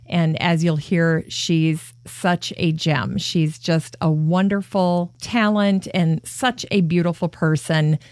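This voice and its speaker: Minnesotan woman hosting, low and dry. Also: no room sound. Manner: warm, enthusiastic, gushing praise. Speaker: podcast host. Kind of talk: podcast intro and interview.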